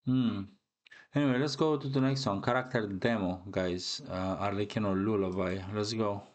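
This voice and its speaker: Male reaction host, lowish pitch, informal, hesitant, repetitive thinking-aloud commentary. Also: steady tone, moderate pace. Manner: hesitant, rambling, repeats words.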